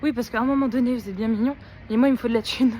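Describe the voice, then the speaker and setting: A woman's voice: a little high, racing, warm tone, a little hiss or hum. A young woman with a cold, chatting casually into her phone for a vlog, rambling and slangy with many fillers. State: sick with a blocked nose and sore throat. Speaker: vlogger. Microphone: phone held at arm's length.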